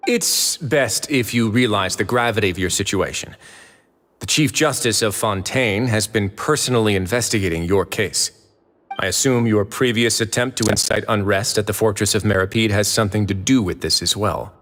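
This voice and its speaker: An actor voicing a smooth, authoritative male warden in a video game who talks in a suave, quietly menacing way. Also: fairly low pitch, normal speed, close to an everyday voice. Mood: stern. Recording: game audio with long reverb.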